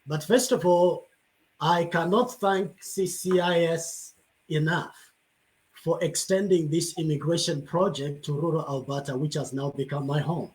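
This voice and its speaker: A man's voice: a little high, steady tone, unhurried, rough recording. A man from Zambia who leads a rural congregation as pastor, answering on a video-call panel in a grateful, storytelling way.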